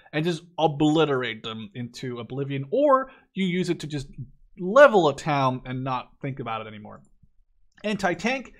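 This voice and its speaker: Male gaming host, twenties, from the Netherlands, slightly high-pitched voice, casual and opinionated review delivery. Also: fairly bright tone. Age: 20s. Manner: opinionated, informal with swearing. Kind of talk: casual game review commentary.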